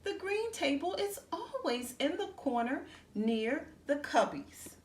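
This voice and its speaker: Woman acting a high-pitched, whiny little schoolboy. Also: very animated, slow pace, heavily acted. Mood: complaining. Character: upset young schoolboy resisting change, whiny and earnest. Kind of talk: children's storybook read-aloud. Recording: roomy echo, faint background noise.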